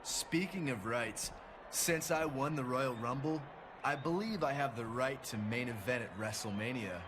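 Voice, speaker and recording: An actor playing a cocky, defiant rookie wrestling champion in a video game, with a casual, smug, dismissive manner. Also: male voice, mid-range voice, moderate pace, background noise, natural voice. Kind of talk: video game cutscene acting.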